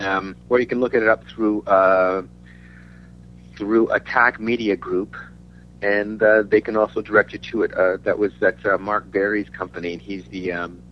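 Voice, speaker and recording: Male musician from Denmark, low voice, halting interview chat, muffled recording with faint hum. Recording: dull lo-fi audio with faint hum.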